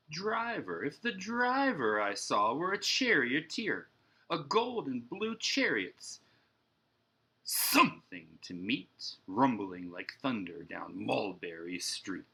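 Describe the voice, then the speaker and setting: A man's voice: fairly high pitch, vocal fry, bright, forceful tone, slightly rough, pitched above the performer's own voice, full character voice. A man voicing an eager, boastful, imaginative boy in a dramatic, rhyming children's storybook read-aloud. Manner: dramatic, emphatic, sing-song rhyme.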